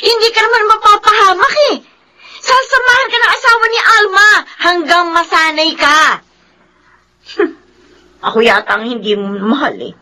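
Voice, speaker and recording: A woman's voice: normal speed, pauses often, bright, forceful tone, full character voice, rough recording. An actress in a radio play voicing a materialistic young wife who scolds and mocks her husband. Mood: pleading angry. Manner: shrill, scolding. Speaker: voice actor. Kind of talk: radio drama acting.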